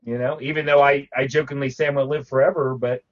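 A man's voice: medium pitch, level tone, fast talker, lo-fi sound. A man co-hosting a casual talk show about collecting, rambling with frequent restarts and fillers. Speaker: podcast co-host.

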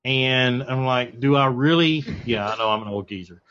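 A man's voice: mid-range voice, normal speed, a bit bright, lo-fi sound. A man of forty co-hosting a casual talk show, chatting reflectively and poking fun at himself. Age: 40s. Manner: reflective, chatty, self-deprecating. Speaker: podcast co-host. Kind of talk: casual panel chat about collecting.

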